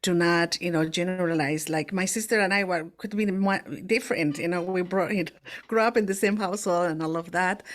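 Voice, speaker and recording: A woman from Latin America, a guest on an interview podcast, who speaks earnestly with frequent pauses and restarts. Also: lowish voice. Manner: halting, many fillers and restarts, earnest. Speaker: guest, immigration affairs official. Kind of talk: casual interview.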